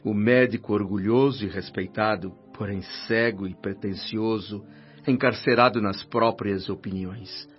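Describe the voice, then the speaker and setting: A man's voice: on the low side, fairly even intonation, unhurried, close to an everyday voice. Actor as solemn, humble man, dull recording. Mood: humble, earnest. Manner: solemn, formal, fervent. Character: humble, earnest former doctor, newly arrived spirit, narrating his afterlife. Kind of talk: radio drama acting and first-person narration. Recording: dull lo-fi radio drama with music.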